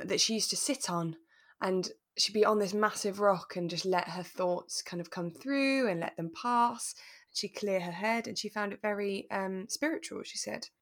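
A woman co-hosting a podcast in relaxed, casual conversation. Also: mid-range voice. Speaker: podcast co-host.

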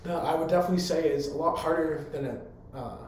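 A hoarse young man, a college public address announcer, answering interview questions in an eager, rambling way full of fillers. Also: fairly high pitch, fast talker, darkish tone. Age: young adult. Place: room on a college campus. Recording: very echoey room, faint background hum.

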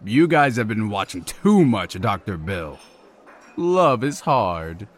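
An actor playing a cocky, abrasive young man in an audio drama, dismissive, sarcastic and quick to sulk. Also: lowish voice, sing-song, exaggerated voice. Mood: smug, dismissive.

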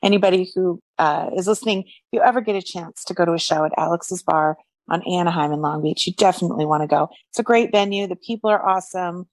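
A woman's voice: lowish voice, quick pace, dry recording. A woman co-hosting a podcast in casual chat, upbeat and earnest. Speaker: podcast co-host.

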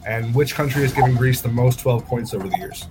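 A man's voice: mid-range voice, brisk. Casting: male podcast host, casual quizmaster style, faint noisy ambience behind the voice. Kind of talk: casual interview and quiz hosting. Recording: clear background noise, room ambience.

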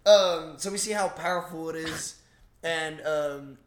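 A man co-hosting a podcast in a casual, halting, informal way with some mild swearing. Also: a little high, echoey room, crowd noise. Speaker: podcast co-host. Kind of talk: casual movie discussion.

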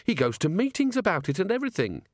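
A man's voice: a little high, very animated, moderate pace, no room sound, voice pushed up. A male narrator plays a young police captain for an audiobook. He is polite, earnest and a little awkward, with a lightly raised, deferential voice and a dry, close sound. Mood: protesting. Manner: deferential, innocent, literal-minded.

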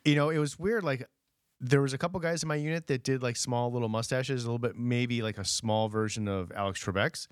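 A man co-hosting a podcast in a mid-range voice. He pauses often with ums and uhs, and his humor is dry. The recording is close and dry, with no echo. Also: medium pitch, clear, resonant tone. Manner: hesitant, full of ums and uhs, dry wit. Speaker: podcast co-host. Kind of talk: casual podcast banter.

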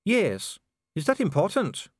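Guy doing a snooty dwarf official for a storybook, high voice that swings around, very precise and solemn. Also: wildly swooping pitch, measured pace, dry recording, clean studio sound, heavily acted. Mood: formal, precise. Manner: formal, precise, pompous. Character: stiff, solemn, disdainful male dwarf official. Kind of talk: audiobook character acting.

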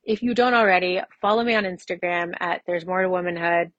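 A woman's voice: on the low side, brisk, fairly bright tone, no room sound, dull audio. A woman in her mid-forties hosting a solo podcast, talking in a personal, conversational way and repeating phrases for emphasis. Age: mid-40s. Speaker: podcast host.

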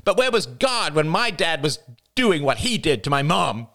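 A man's voice: on the high side, sing-song, normal speed, a bit bright, voice pushed up. A man voicing a bitter, defiant young woman with a nasal voice that rises to a shriek.

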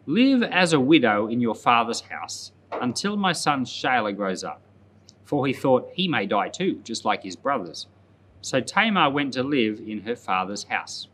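Male pastor, lively rise and fall in pitch, mid-range voice, measured Bible podcast delivery, faint ambient noise behind. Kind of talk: scripture reading aloud with informal commentary.

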